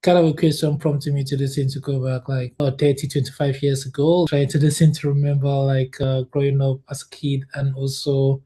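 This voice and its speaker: A man in his thirties from Cameroon, a guest telling his life story in a relaxed interview, full of fillers and restarts. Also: medium pitch, brisk, hardly any pauses. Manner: hesitant, many fillers and restarts, laughs often.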